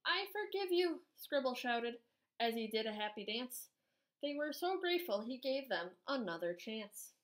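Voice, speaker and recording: High, animated woman reading a storybook. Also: normal speed. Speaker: art teacher. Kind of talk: children's storybook read-aloud.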